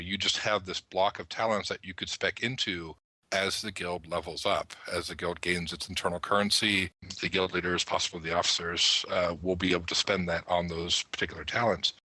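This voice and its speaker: A man's voice: low voice, steady tone, fairly quick, bright, forceful tone, no echo, low-quality recording. A man on a gaming podcast panel, talking enthusiastically and at length about his thoughts. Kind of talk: panel discussion of an online roleplaying game.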